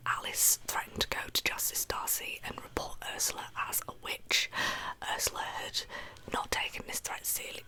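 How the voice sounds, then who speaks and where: Hushed, whispering woman telling a story. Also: low-quality recording. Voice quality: breathy, unvoiced whisper. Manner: hushed, unhurried narration. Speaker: host of an ASMR true crime channel. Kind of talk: soft-spoken ASMR storytelling.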